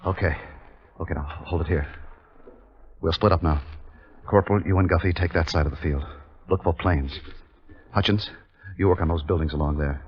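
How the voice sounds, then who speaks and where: An actor playing a resolute army captain who narrates a wartime raid in a terse, suspenseful 1950s radio drama style. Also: male voice, low voice, moderate pace, pauses often, slightly rough. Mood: quiet, commanding. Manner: terse, suspenseful, clipped phrasing. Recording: dull vintage radio recording with music.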